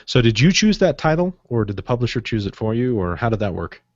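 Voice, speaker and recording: A man co-hosts a podcast and asks his guest questions over an online call. He talks in a chatty, halting way, restarting his sentences as he goes. His voice is dry and close, and the audio is dull and muffled. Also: medium pitch, sing-song, darkish tone, dry recording. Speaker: podcast co-host. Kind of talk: casual interview questions. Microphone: video call.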